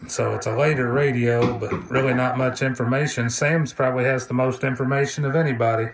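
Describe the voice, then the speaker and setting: A man's voice: mid-range voice, fairly even intonation, a little fast. A man giving an unscripted, casual hands-on gadget demo in an easygoing, technical way. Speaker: hobbyist video presenter. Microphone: phone camera mic. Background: radio chatter and hiss.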